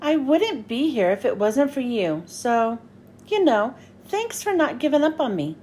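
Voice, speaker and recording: A woman's voice: sits high, sing-song, measured pace, darkish tone, slight background noise. A woman voicing a cocky, eager teenage boy inventor from a storybook, brash and casual. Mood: grateful.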